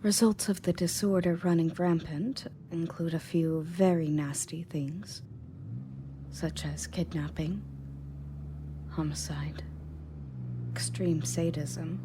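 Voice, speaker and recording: A woman's voice: deep voice, mellow. A woman playing a confident, matter-of-fact rescue agent in an audio roleplay, speaking conversationally to the listener. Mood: matter-of-fact briefing. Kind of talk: scripted audio roleplay, in-character monologue to listener. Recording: clearly audible background noise and ambience.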